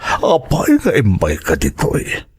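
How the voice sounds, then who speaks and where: An actor playing a defensive middle-aged father in a radio drama, with a rough, husky voice and gruff, halting delivery. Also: on the low side, huge pitch swings, full character voice. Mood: startled, dismissive. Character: defensive, well-off middle-aged father hiding a secret family. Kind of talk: Filipino radio drama acting.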